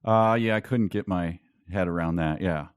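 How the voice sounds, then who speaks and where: Low-voiced man, dryly funny podcast co-host. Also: moderate pace, clear, resonant tone, no room sound, clean studio sound. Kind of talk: casual book discussion with read-aloud passages.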